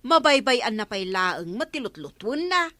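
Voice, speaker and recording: Fretful, high-voiced, animated village woman. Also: a little slow, no room sound, heavily acted. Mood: gossipy. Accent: Filipino, Ilocano. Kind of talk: Ilocano radio comedy drama acting.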